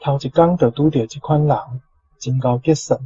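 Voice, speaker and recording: A man's voice: fairly high pitch, steady tone, normal speed, warm tone, slightly rough, dry recording. A man reading prepared sentences on a dull, lo-fi recording. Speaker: guest giving prepared translations.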